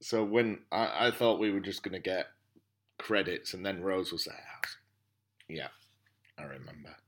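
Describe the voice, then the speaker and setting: A man on a podcast panel giving tentative, measured opinions in casual review chat. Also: low-pitched, fairly bright tone.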